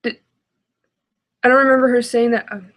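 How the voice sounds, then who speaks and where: A woman's voice: on the high side, fairly even intonation, moderate pace. A woman giving casual reaction commentary in a hesitant, exasperated way. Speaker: reaction video host.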